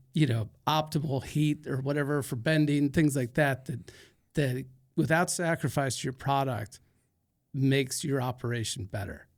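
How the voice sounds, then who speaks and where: A man hosting a podcast in a casual, chatty way, making self-mocking jokes and building long analogies while asking questions. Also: mid-range voice. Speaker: podcast host. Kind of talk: casual interview conversation.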